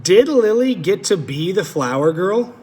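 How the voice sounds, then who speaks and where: Teacher guy reading a kids book slow and lively, lots of echo in the room. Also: sits high, husky. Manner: animated storytelling, asks children questions. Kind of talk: reading a picture book aloud to children. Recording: echoey room with long reverb.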